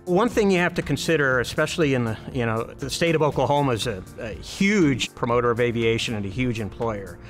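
Male, 50s, mid-pitched program director, interview delivery over soft music. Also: lively intonation, a little fast, few pauses. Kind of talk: promotional interview. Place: large aircraft hangar.

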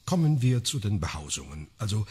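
An actor playing a sardonic, erudite man who leads an investigation in a radio crime drama, with a husky voice and a wry, ornate, deliberate way of talking. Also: on the low side, big pitch swings, darkish tone, exaggerated voice.

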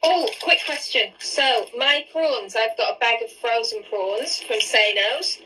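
A woman's voice: a little high, measured pace, pushed and bright, a little hiss or hum, low-quality recording. A woman, hosting a live-stream cooking session from her home kitchen and asking her guest lots of questions while she cooks. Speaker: host. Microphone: phone camera at arm's length.

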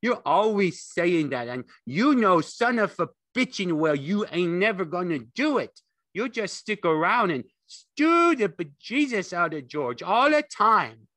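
A man voicing a sharp-tongued, nagging older aunt with coarse speech, in an audiobook. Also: sits high, animated, measured pace, dry recording, voice pushed up, heavily acted. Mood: scolding, sharp.

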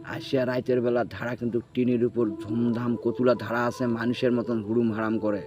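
A man's voice: medium pitch, level tone, fairly quick, a little mellow, faint music behind. A man with a rural northern Bengali dialect telling a story in casual chat, acting out the dialogue and checking that the listener follows. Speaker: storyteller recounting own experience. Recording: muffled, noisy field recording.

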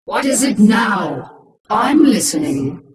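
A woman's voice: medium pitch, very animated, takes it slowly, shouting, recorded from a distance. An actress playing an imperious infested alien queen in a video game, cold and threatening with an echoing effect. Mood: cold menace. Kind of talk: video game unit quotes.

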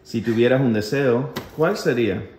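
A man's voice: mid-range voice, normal speed, a little mellow. A man acting as interviewer, reading out short, casual personal questions. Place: home kitchen. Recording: echoey home kitchen, faint noise.

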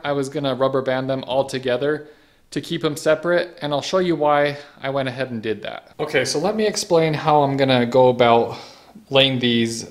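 A man in his thirties with a mid-pitched voice talks viewers through workshop steps. He is casual and conversational, explaining things in plain terms like a hobby video host. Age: 30s. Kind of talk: casual instructional vlog. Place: garage woodworking shop.

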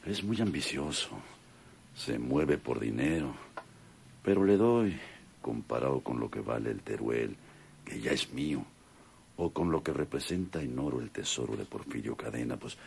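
Villano mexicano gravísimo y rasposo. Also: male voice, a bass voice, a little hiss or hum, heavily acted. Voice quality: gravelly. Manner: muttering to himself, theatrical. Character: greedy, vengeful, scheming male villain landowner. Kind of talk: Mexican radio drama acting.

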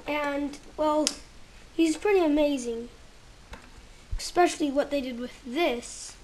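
A woman casually reviewing toys at home, halting and searching for words, sometimes dropping to a near whisper while handling pieces, recorded on a handheld camera held close. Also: high-pitched, darkish tone, slight background noise. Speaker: toy reviewer.

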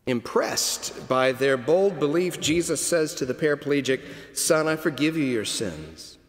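A middle-aged man preaching a sermon from a pulpit, telling the story slowly and deliberately with dramatic pauses. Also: a little high, animated. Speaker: cathedral dean preaching. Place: large stone cathedral, at a pulpit. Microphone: pulpit microphone. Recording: long cathedral reverb.

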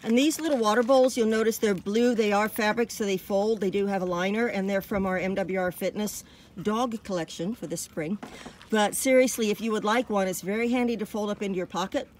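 A woman's voice: mid-range voice, a little fast, a little mellow. American woman talking outdoors, off the mic. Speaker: fitness department staffer presenting a dog hiking safety video. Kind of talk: informal outdoor safety talk to camera. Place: outdoors in open desert by trails and a dirt parking lot. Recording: far from the mic, faint outdoor noise.

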